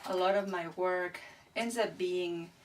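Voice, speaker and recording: Woman, Spanish speaker, halting and reflective, somewhat far from the mic, faint background noise. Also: fairly low pitch, steady tone. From Argentina. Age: middle-aged. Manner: thoughtful, halting, searching for words. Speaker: artist being interviewed. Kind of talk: reflective interview talk about own work. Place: indoors beside a displayed print.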